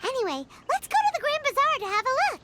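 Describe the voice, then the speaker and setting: A woman voicing a tiny, excitable, childlike fairy companion in a video game, perky and bubbly. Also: very high voice, quick pace, loud music behind the voice. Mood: bright, excited. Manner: perky, bubbly, speaks of self in third person.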